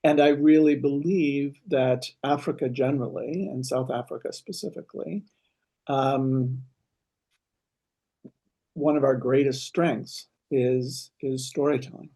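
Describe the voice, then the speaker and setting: Man interviewer with a mid-pitched voice. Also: steady tone, measured pace, warm tone. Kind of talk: casual conversational interview.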